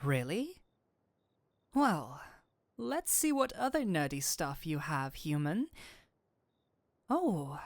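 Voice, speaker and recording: A woman voicing a flirtatious incubus demon who is secretly an excitable nerd, in a scripted roleplay with theatrical, formal phrasing that slips into flustered gushing. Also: medium pitch, no echo. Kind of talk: scripted roleplay audio addressed to the listener.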